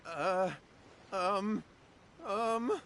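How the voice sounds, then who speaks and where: An actor playing a frightened, guilty young brother in a video game, stammering and nervous. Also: male voice, high voice, extremely slow, a bit bright, a little hiss or hum, exaggerated voice. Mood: panicked, evasive. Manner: stammering, panicky.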